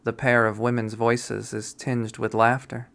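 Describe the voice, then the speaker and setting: A man narrating a visual novel readthrough in a measured, brooding way. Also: medium pitch, level tone, vocal fry, a bit bright. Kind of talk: slow dramatic readthrough narration.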